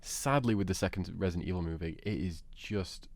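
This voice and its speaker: A man's voice: low-pitched, fairly quick, darkish tone, no room sound. A man co-hosting a podcast, debating films informally and laughing often as he talks. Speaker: podcast co-host. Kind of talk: casual film debate chat.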